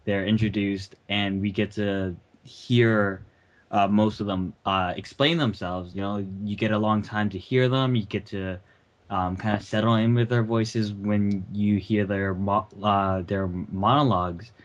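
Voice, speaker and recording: A man chatting casually with a halting delivery on a dull lo-fi mic. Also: on the low side, steady tone. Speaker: amateur voice actor. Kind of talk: casual chat.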